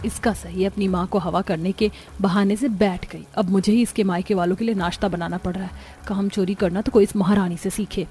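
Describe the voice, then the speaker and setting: A woman voicing harried, quarrelsome young daughters-in-law in a cartoon, complaining with a sharp tongue. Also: mid-range voice, steady tone, a little fast, faint music behind, close to an everyday voice. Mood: resentful muttering. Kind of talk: scripted cartoon dialogue.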